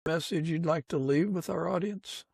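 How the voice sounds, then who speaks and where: A man hosting a podcast interview in a conversational way, haltingly searching for his words. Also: fairly high pitch, creaky, a little mellow, slightly rough, no room sound, studio quality. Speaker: podcast host. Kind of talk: conversational interview with a prayer and sign-off.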